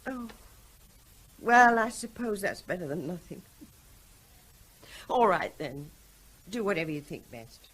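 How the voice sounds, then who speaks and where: An actress playing an unhappy, frustrated wife in a radio drama, earnest and insistent. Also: female voice, fairly low pitch, expressive, a little slow, pauses often, a bit bright, slightly rough, full character voice, rough recording. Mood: hurt, pleading. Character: unhappy, frustrated wife pleading with her evasive husband.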